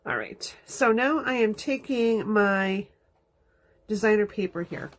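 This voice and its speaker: A woman's voice: mid-range voice, animated, a little slow. A woman hosting a live card-making demonstration from her craft room, stuffy and sniffling from allergies, chatting through each step as she works. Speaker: crafting host. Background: dog dragging its bed around.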